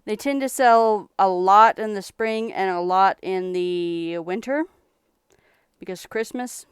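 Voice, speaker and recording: A young woman from Texas talks in a relaxed, rambling way while showing off her thrift finds. Her voice is strikingly clear and smooth. It is recorded close up, with a dry sound. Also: mid-range voice, slow, no room sound. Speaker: streamer reselling thrift finds. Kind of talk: casual live-stream chat.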